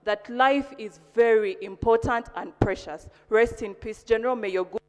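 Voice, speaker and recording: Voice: mid-range voice, moderate pace, soft background music. A member of parliament with a Ugandan accent, giving a solemn, declarative formal tribute. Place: large parliamentary chamber. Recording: echoey hall with long reverb.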